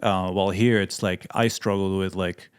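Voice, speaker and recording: A man's voice: low-pitched, moderate pace, clear, resonant tone. A man in his thirties from Sweden with a Swedish accent, chatting casually as an interview guest and filling his talk with "like" and "you know.". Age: 30s. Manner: relaxed, many fillers like "like" and "you know". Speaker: guest, missionary pilot.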